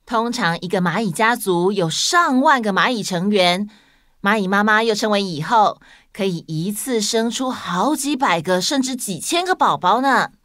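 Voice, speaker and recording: A woman's voice: medium pitch, animated, moderate pace, a bit bright, no room sound, studio quality. A woman explaining facts to children as a podcast co-host.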